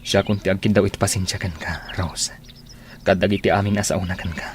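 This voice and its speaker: An actor playing a gentle, lovelorn man with a sincere, pleading delivery in a radio drama. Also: low voice, steady tone, a little fast, noisy background, natural voice. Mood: persistent. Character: earnest, lovelorn man Ferdinand, gentle and persistent.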